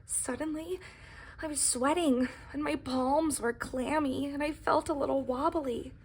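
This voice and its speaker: A woman voicing a humble, anxious and earnest young pie who tells its own story in a children's storybook, with dramatic emphasis. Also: high-pitched. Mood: nervous. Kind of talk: expressive storybook reading.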